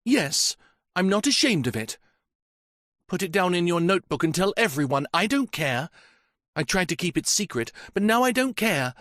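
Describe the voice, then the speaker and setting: A grieving young woman in a mystery audiobook, defiant and close to tears, as a male narrator puts her on. Her voice rides high and swings widely, with a dry, close sound. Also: big pitch swings, normal speed, fairly bright tone, no room sound. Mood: impassioned. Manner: impassioned, tearful outbursts.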